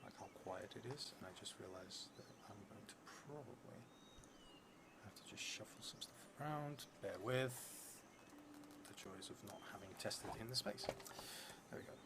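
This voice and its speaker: A man in his thirties narrating a solo tabletop game on a stream, unhurried, thinking aloud and murmuring asides. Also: lowish voice, gravelly. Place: indoor room at a theatre, at a table. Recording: noisy room with steady background noise.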